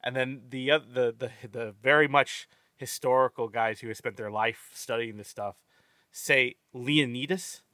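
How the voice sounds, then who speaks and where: A man with a bright, forceful voice hosts a casual movie-review podcast. He rambles, restarts his sentences with stammers and swears freely. The sound is close and dry. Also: mid-range voice, fairly even intonation, measured pace, dry recording. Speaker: podcast host.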